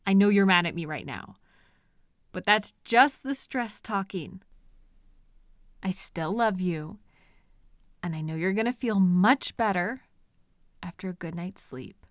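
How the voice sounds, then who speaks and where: A woman playing an obsessive, deluded kidnapper in love, speaking gently and coaxingly to the listener in an intimate audio roleplay. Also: medium pitch, no room sound, studio quality, natural voice. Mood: soft, soothing. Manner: gentle, coaxing, chatty, unnervingly sweet. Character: obsessive, possessive female kidnapper, cheerfully deluded lover.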